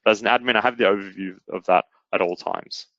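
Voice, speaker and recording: A man presenting a software product in a webinar, explaining it step by step in an instructional way. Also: lowish voice, steady tone, fast, dry recording, muffled recording. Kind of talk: explanatory webinar demo narration.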